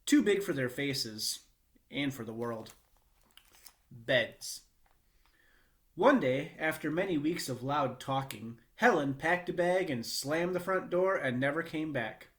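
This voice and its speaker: A man reading a children's book aloud in a measured, expressive storytelling manner with short pauses. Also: fairly high pitch. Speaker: read-aloud reader.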